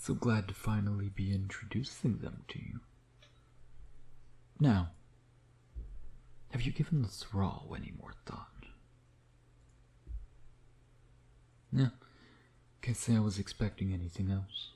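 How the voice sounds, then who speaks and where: A man playing a smug, teasing vampire predator in an intimate ASMR roleplay, sardonic and flirtatious, often slipping into breathy murmurs. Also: lowish voice, a little slow, long pauses, mellow. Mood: menacing, seductive.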